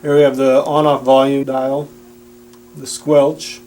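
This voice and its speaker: Guy walking through product features, mellow plain voice, bit of hum behind him. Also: mid-range voice, little pitch movement, a little slow, rough recording. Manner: plain-spoken, instructional. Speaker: product demonstrator. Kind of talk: explanatory product demonstration. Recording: audible background noise with hum.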